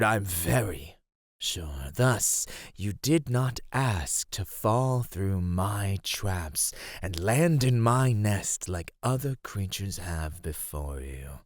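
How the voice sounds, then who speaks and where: A man playing an ancient cave monster in an ASMR roleplay, husky and low, speaking formally with predatory, teasing menace. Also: sing-song, takes it slowly, no echo, clean studio sound, full character voice. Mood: taunting judgment.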